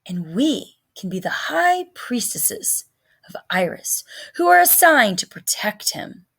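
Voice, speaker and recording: A woman reading a storybook, voicing a bossy, imaginative girl who turns theatrical and excitable, playing a dramatic high priestess. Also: slow, creaky, husky, full character voice. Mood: eager, scheming.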